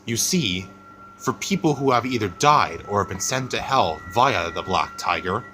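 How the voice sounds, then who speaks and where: A man voicing an ominous monster storyteller, telling a spooky tale with drawn-out, dramatic emphasis in an amateur roleplay. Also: fairly low pitch, lively intonation, pushed and bright, a little hiss or hum. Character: Zilla Dude ten, ominous monster storyteller.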